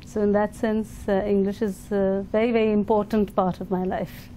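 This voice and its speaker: A woman's voice: mid-range voice, flat delivery, moderate pace, mellow, a little hiss or hum. An Indian woman leading an education foundation, answering interview questions thoughtfully with fillers and restarts.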